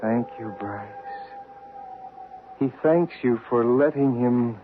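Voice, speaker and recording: An actor playing an earnest, halting male spirit medium in an old radio mystery drama. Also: mid-range voice, music in the background, lightly acted. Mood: entranced. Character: hushed, earnest male spirit medium and photographer named David. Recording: noisy, phone-like old radio broadcast recording.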